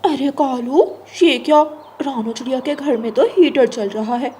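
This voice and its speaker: Lady as a pushy dramatic cartoon crow wife, highish voice, bit of noise behind. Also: measured pace, warm tone. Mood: excited. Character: bossy, scheming female crow wife, dramatic and pleading. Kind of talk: Hindi children's cartoon character voice.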